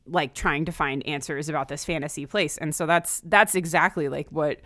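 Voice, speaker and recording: A woman's voice: low voice, moderate pace, fairly bright tone, no room sound, studio quality. A woman in a casual, thoughtful discussion, often saying "like" as she works through her points. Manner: frequent filler "like", thoughtful.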